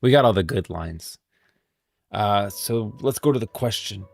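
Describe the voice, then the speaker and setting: A man's voice: on the low side, lively intonation, measured pace, creaky, darkish tone, soft background music. A man hosting a livestream with casual, emphatic and opinionated commentary on game lore. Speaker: livestream host.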